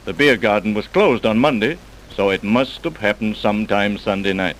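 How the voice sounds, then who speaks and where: An actor playing a clever, unflappable police scientist in an old radio crime drama, explaining with measured, dry confidence. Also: male voice, on the low side, close to an everyday voice, low-quality recording. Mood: confident explaining. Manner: measured, wry, self-assured explaining. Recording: vintage radio broadcast recording.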